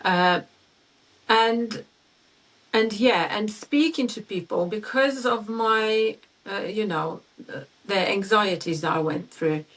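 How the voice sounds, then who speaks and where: A woman in her forties who speaks English as a second language, hosting a personal conversation and talking haltingly, searching for words. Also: medium pitch, fairly bright tone, far from the mic, low-quality recording. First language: not English. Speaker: podcast host.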